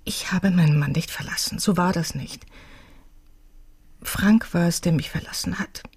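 An actress playing a troubled, fragile wife and grieving mother, a painter, in a radio crime drama, hushed and hesitant. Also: on the low side, normal speed, breathy edge. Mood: pained confession.

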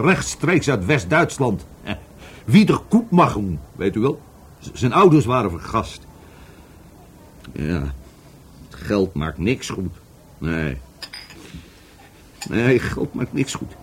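An actor playing an evasive, volatile middle-aged businessman questioned by police in a radio play, husky, stammering and repeating his words. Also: mid-range voice, exaggerated voice. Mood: agitated, bitter. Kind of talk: Dutch radio play acting.

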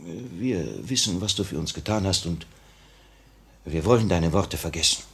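An actor playing a principled, conciliatory leader of revolutionaries, deliberate and emphatic, in a 1950 radio play. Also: male voice, deep voice, animated, creaky, a bit bright, heavily acted. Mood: grave, decisive. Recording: vintage 1950 radio recording.

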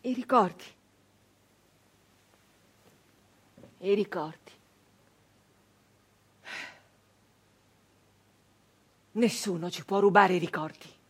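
An actress playing an embittered, defiant nineteenth-century southern Italian brigand woman in a theatrical monologue, with insistent repetitions and weighty pauses. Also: medium pitch, husky, natural voice. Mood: haunted, subdued.

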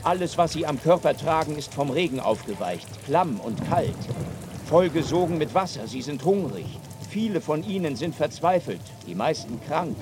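A man narrating a radio play gravely as scripted description, with clear outdoor noise behind him. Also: a little high, very animated, moderate pace. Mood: grave, descriptive.

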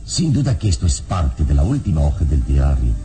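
Very deep, measured heroic adventurer. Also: male voice, very animated. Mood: pensive. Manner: measured, deliberate, solemn. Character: calm, commanding heroic male adventurer investigating a murder. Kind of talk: Spanish-language radio serial acting. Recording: radio drama with background music.

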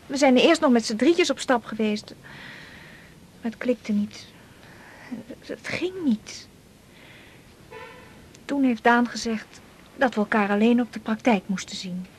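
An actress playing a lovelorn, wistful young woman in a naturalistic radio drama, confiding in a halting, often breathy way. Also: on the high side. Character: lovelorn, wistful young woman confessing hopeless love to colleague.

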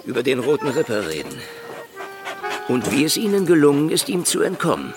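An actor playing a sly, mocking private detective with dry mock courtesy in a German radio drama. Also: male voice, medium pitch, wildly swooping pitch, fairly quick, music in the background. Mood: mock-courteous, coaxing. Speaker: lead actor in a radio play.

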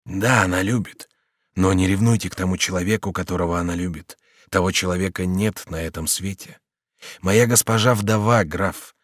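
A man reading aloud and voicing a calm, compassionate old servant in a measured, solemn way. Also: deep voice, no echo, clean studio sound. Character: older male servant, calm, grave and compassionate.

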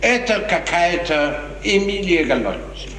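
Scheming chamberlain type, formal stage diction; noisy, echo-laden vintage radio play recording. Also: male voice, sits high, lively intonation, unhurried. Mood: disdainful. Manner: formal, deferential, theatrical. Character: smooth, scheming male courtier serving a prince. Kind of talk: 1950s radio drama acting. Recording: echoey, noisy, distant 1950s radio recording.

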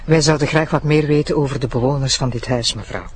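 A woman's voice: rumbling low, fast talker. A woman with a Flemish accent playing an eager, polite deputy prosecutor in a naturalistic audio drama. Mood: polite, inquiring. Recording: faint background noise.

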